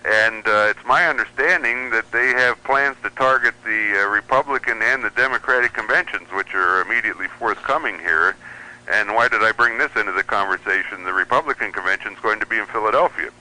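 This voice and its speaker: A man's voice: lowish voice, fairly quick, bright, forceful tone, rough recording. A man, a radio hobbyist and columnist, chatting casually as a guest and telling rambling stories. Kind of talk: casual anecdotal chat.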